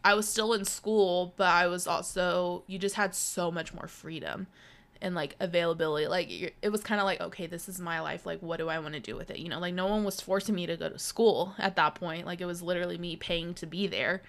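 Woman, twenties, podcast co-host, casual chat, filler-heavy, profane, bright tone. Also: lowish voice, steady tone, a little fast, vocal fry. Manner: chatty, swears a lot, lots of "like" and "bro".

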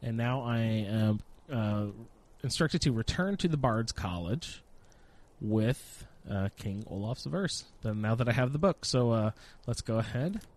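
A man's voice: mid-range voice, lively intonation, a little slow, a little mellow. Sniffling man casually narrating his gameplay. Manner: rambling, self-deprecating, jokey. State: sniffling with a slight cold. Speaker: gamer narrating own playthrough.

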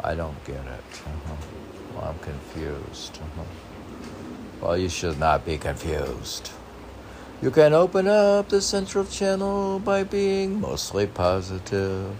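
A man's voice: low voice, creaky, a little mellow. A man reading his own short poems aloud, rambling wryly and talking back to himself in casual commentary. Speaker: poet reading own work. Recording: noticeable background noise.

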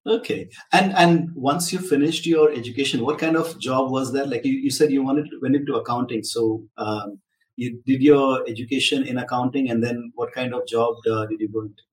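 A man's voice: medium pitch, fairly even intonation, moderate pace. A man hosts a business podcast. He speaks from a distance in an echoey room, and faint noise sits under his voice. He moves from a showy introduction to plain conversational questions. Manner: upbeat showman opening, then a conversational questioner. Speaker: podcast host. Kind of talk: podcast interview hosting. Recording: roomy, from the mic, faint noise.

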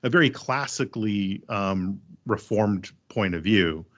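A man's voice: lowish voice, measured pace, highs cut off. A man who is a priest, speaking in a thoughtful podcast discussion and explaining things at length.

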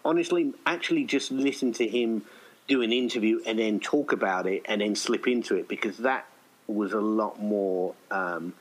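Low-voiced man, rambling casually. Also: normal speed, low-quality recording. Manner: rambling, hesitant, thinking aloud. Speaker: writer-director of an audio play being interviewed. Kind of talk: casual interview chat.

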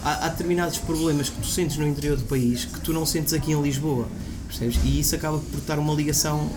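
A man's voice: a little high, steady tone, quick pace, background noise. Young man with a northeastern Portuguese accent. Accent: northeastern Portuguese (Bragança region). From Miranda do Douro, northeast Portugal. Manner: earnest, explains at length with many restarts. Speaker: guest. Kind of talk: casual chat. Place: student radio studio, in a café with clinking dishes.

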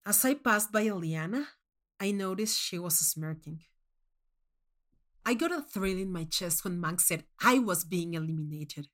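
A woman reading first-person fiction as a scripted narration, in a measured, expressive storytelling manner. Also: fairly low pitch. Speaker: short story reader.

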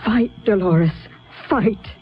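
An actress playing a brisk, direct woman in a radio drama, earnest and composed in her delivery. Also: medium pitch, natural voice. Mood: grave, earnest. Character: brisk, urgent female ally of a mystic. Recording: narrow tinny radio sound, faint music.

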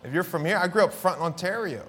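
A middle-aged male pastor with a Philadelphia accent, preaching with dramatic swings between loud declarations and hushed words, and acting out conversations as he tells stories. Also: high voice, animated, quick pace. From Philadelphia inner city. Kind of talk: sermon.

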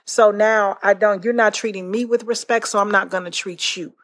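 A woman's voice: medium pitch, steady tone, moderate pace, fairly bright tone. An American woman co-hosting a podcast, talking earnestly in a conversational way. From United States. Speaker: podcast host.

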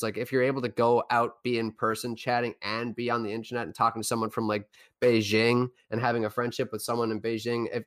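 A young man from Newfoundland, Canada, with a Newfoundland accent, co-hosting a casual podcast chat and telling rambling, animated stories. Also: medium pitch. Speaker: podcast co-host.

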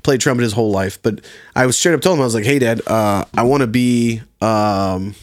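A man's voice: mid-range voice, fairly quick, no room sound, studio quality. A man in his thirties from Virginia, a podcast guest talking casually, laid-back, laughing often and swearing freely. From Virginia, USA. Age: 30s. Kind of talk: casual chat.

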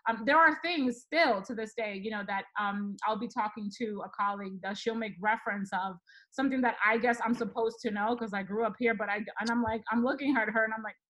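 A woman's voice: on the high side, fairly quick, a bit bright, poor audio. A woman from the Dominican Republic hosting a casual chat, candid and rambling with many fillers. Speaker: host.

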